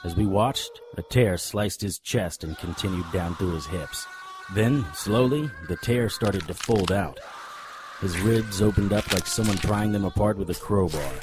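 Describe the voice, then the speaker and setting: A man playing a cocky, world-weary paranormal investigator, narrating a noir radio drama in a wry, deadpan way. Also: low voice, unhurried, over music, poor audio. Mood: grim, measured.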